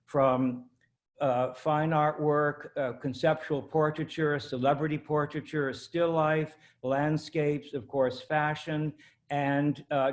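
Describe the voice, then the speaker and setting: A man introducing a guest as interviewer, reading through a list steadily with a few fillers. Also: mid-range voice. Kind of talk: scripted introduction.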